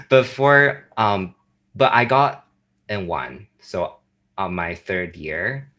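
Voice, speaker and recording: A young man from China with a Chinese accent, chatting as a guest on a video call, lively and full of fillers and restarts. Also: lowish voice, extremely slow. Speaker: guest, YouTuber. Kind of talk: casual chat on a video call.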